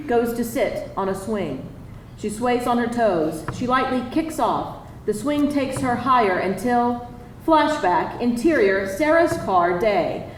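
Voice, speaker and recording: A woman with a slightly high voice narrates scene directions from a script. She sounds a bit far from the mic in a roomy space. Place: live event room. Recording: roomy, distant, faintly noisy live reading.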